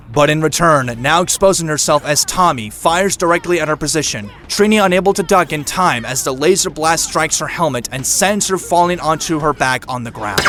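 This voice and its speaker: Higher-pitched male narrator over loud music. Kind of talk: scripted audio drama narration.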